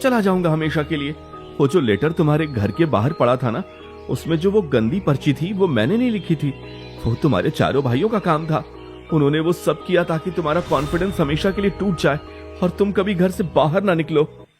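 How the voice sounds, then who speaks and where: A man's voice: on the high side, lively intonation, fairly quick, darkish tone, faint music behind, pitched above the performer's own voice, close to an everyday voice. A man voicing an earnest young man in love, pleading emphatically in cartoon story dialogue.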